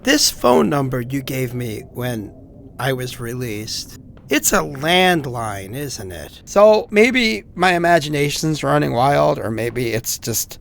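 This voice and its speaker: Male actor as feverish, isolated young man for horror audio drama. Gravelly, halting, anxious rambler, noisy recording with rumble. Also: big pitch swings, a bit bright, heavily acted. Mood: weary, suspicious. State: feverish, coughing. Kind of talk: audio drama voicemail monologue.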